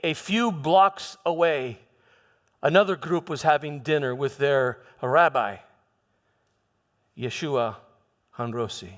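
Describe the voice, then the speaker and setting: A man playing a troubled Roman governor who recounts his past in a halting dramatic monologue, repeating words and pausing for emphasis. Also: fairly high pitch, fairly bright tone. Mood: uneasy recounting. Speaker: church dramatist performing a monologue. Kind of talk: first-person dramatic monologue in church. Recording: echoey hall with long reverb.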